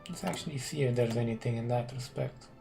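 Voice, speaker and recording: A Romanian man, a non-native English speaker, casually commenting on a strategy game and thinking aloud with hums and pauses. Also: mid-range voice, vocal fry, mellow, soft background music. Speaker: gaming commentator.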